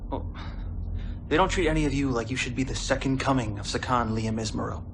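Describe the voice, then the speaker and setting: A man's voice: medium pitch, brisk, natural voice. A man playing a cocky young male warrior cadet who banters wryly with his squad. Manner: wry, teasing banter. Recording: noisy background. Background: ambient noise.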